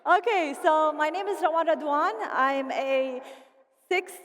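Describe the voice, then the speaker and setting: A woman with a Lebanese accent speaks from a stage in a large hall, her high voice trailing into a long reverb. She talks with a lively, playful energy and keeps calling out to the crowd. Faint ambience sits under her words. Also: a little slow, background noise. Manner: animated and playful, rallying the crowd. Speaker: convention speaker. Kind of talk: motivational stage speech.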